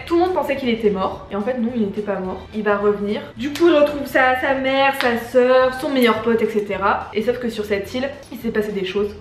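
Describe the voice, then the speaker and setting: Young woman, chatty and slangy, somewhat high voice, echoey room, faint music behind. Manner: slangy, chatty, swears casually. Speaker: YouTuber. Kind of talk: casual chat.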